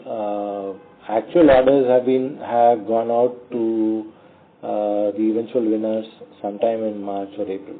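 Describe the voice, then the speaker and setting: A man with an Indian accent speaks as a company executive answering questions on an earnings call, in a halting, measured way with frequent pauses. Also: fairly low pitch. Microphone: conference call phone line. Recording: tinny narrowband phone line.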